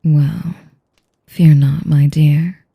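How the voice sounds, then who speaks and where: A woman playing an elegant, manipulative vampire in an intimate audio roleplay, with a whispery voice and a slow, teasing, mock-polite manner. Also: low voice, level tone, warm tone, heavily acted. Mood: smug, condescending. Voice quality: breathy, whispery. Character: sadistic, elegant, manipulative female vampire noble.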